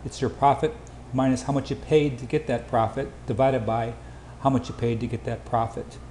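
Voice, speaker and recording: A man giving an informal sales presentation over slides, with hurried, clipped phrasing. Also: medium pitch, fairly even intonation, warm tone. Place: indoor room, voice-over for slides. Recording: roomy echo, faint background noise.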